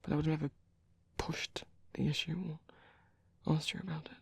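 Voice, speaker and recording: A man playing a gentle, caring vampire priest in a whispered ASMR roleplay, speaking slowly and tenderly with soft, hesitant reassurance. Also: a little high, little pitch movement, no room sound, exaggerated voice. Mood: reassuring, tender. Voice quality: soft, breathy, husky whisper.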